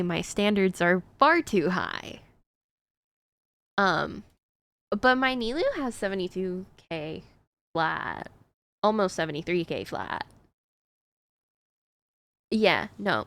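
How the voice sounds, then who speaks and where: A woman's voice: fairly low pitch, creaky, fairly bright tone, no room sound. A woman streaming a game, chatting casually to her viewers in a rambling way with frequent fillers. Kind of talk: casual chat while gaming.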